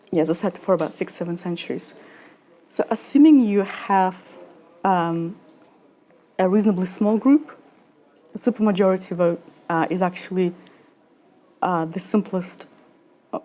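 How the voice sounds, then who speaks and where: A woman in her thirties giving a conference talk and answering questions, halting with many fillers and restarts. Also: mid-range voice, a little mellow. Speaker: conference speaker. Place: lecture room at a conference.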